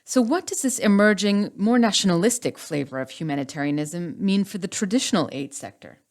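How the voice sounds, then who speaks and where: A woman hosting a podcast, speaking in a measured, articulate way as she introduces guests and frames questions. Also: medium pitch, lively intonation, a little mellow. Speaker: podcast host.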